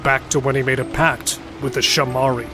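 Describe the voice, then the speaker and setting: A man playing an irreverent smith and lore keeper explaining dark history in a fantasy audio drama. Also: mid-range voice, flat delivery, a little fast, slight background noise, close to an everyday voice. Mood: grave, explaining.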